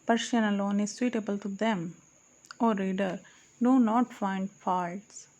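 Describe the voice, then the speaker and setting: A woman's voice: medium pitch, fairly even intonation, a little slow, darkish tone. A woman reading archaic verse aloud as an audiobook, in a solemn recitation that stops to correct her own slips. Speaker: audiobook reader.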